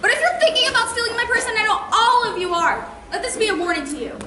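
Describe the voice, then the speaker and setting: A very high, lively girl's voice acted by a young woman rings out in an echoey, noisy theater. She is theatrical and sarcastic, full of indignant menace in a school stage monologue. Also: normal speed, exaggerated voice. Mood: threatening. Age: teenager or young adult. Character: indignant, sarcastic teenage girl menacing suspected purse thieves.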